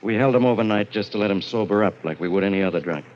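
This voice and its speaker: An actor playing a wisecracking, skeptical police inspector in a 1940s radio drama, slangy and wry, on a muffled old recording. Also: male voice, fairly low pitch, rapid-fire, darkish tone, lightly acted. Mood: warning.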